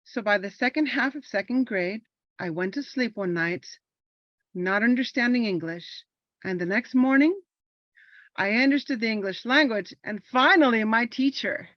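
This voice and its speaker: Woman veteran from Los Angeles, Spanish first language, earnest reflective monologue, slightly high voice. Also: normal speed, no echo, lo-fi sound. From Los Angeles, California. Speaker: army veteran performing a monologue. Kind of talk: personal monologue performance.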